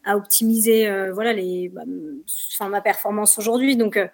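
A young woman in her twenties, originally from the Paris region, answers interview questions in a loose, chatty way, full of fillers and restarts. Her voice sits at a middling pitch. The sound is dry and close, with no room echo. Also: normal speed, a little mellow. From Paris region, France. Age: 20s. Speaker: trail running athlete, guest. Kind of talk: casual podcast interview.

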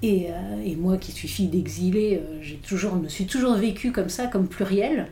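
A woman's voice: medium pitch, normal speed, warm tone, slight background noise. A woman whose first language is English, a philosopher speaking as a guest in an interview, thoughtful and full of hesitations and restarts. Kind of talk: reflective interview talk. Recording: roomy echo.

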